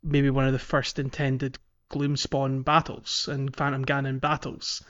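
A man's voice: medium pitch, monotone, measured pace, fairly bright tone. A man co-hosting a podcast in casual game discussion, often correcting his phrasing as he goes. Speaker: podcast co-host. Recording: muffled lo-fi audio.

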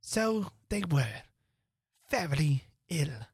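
A woman voicing a sleepy male dormouse with a hoarse, feeble voice, talking slowly. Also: low-pitched, big pitch swings, a little mellow, dry recording, studio quality, pitched above the performer's own voice, heavily acted. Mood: drowsy. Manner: slow, dreamy.

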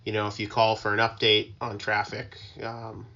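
A man explaining things as an interview guest in an informal, halting, conversational way. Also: on the low side, monotone, creaky, bright, forceful tone, lo-fi sound. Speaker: interview guest, working tugboat mariner.

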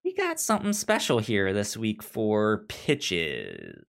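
A man's voice: on the low side, huge pitch swings, slow pace, vocal fry, fairly bright tone. A man hosting a podcast in casual chat, stuttering as he repeats sounds and syllables. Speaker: podcast host.

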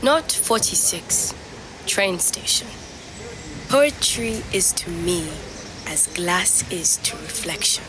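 Young migrant woman, introspective audio-drama diarist, unhurried, faint noise behind. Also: lowish voice, animated. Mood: searching, melancholic. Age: young adult. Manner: measured, introspective, short fragmented phrases. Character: reflective young African migrant woman recording private night-time notes. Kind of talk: scripted dramatic monologue as audio diary.

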